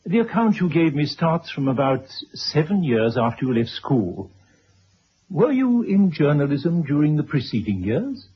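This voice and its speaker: An actor playing a polite, formal biographer in a radio drama, speaking precisely in a husky voice. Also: male voice, on the high side, very animated, unhurried, slight background noise. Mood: curious. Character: courteous, formal male biographer interviewing his subject. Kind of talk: 1970s radio drama acting. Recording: dull old radio broadcast recording.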